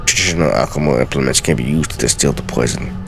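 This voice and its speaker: A man playing a solemn, brooding scholar reading out secret journal pages in a grave, measured, theatrical way for a game. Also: rumbling low, creaky, over music. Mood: instructive. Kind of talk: dramatic reading of game lore.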